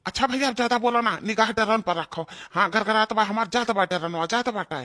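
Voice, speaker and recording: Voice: mid-range voice, fairly quick, sharp tone, natural voice. A performer voicing a greedy, bossy male village thief in an Awadhi comedy cartoon, scolding, with an Awadhi accent. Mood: greedy, boastful. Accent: Awadhi, eastern Uttar Pradesh.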